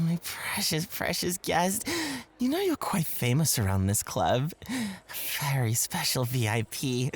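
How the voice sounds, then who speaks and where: A woman plays an excitable, sly nightclub host who fawns over a guest in an ASMR roleplay. The voice is fairly low and slow, yet it swoops widely in pitch. The delivery is breathy, coy and possessive. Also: heavily acted. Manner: breathy, gushing, giggly, stammering with excitement.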